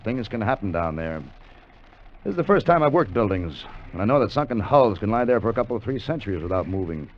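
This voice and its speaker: An actor playing a seasoned, cautious salvage diver, measured and matter-of-fact, in 1950s radio drama style. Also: male voice, mid-range voice. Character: seasoned, cautious salvage diver, level-headed among excited partners. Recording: dull vintage radio recording.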